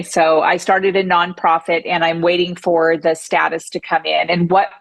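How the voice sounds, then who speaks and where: A woman's voice: lowish voice, no room sound. A woman speaking as a podcast guest, talking emphatically and with self-assurance. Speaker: podcast guest, breast cancer survivor. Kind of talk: conversational interview.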